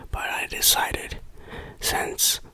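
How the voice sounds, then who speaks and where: A game reviewer whispering in a deliberate, playful ASMR style. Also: pushed and bright, no echo.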